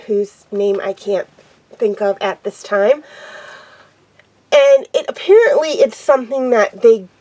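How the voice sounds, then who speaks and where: A young woman with a cold and a stuffed-up, nasal voice, hosting a casual TV episode review and talking haltingly with many pauses and ums. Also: a little high, a little mellow, low-quality recording. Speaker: show host reviewing TV episodes.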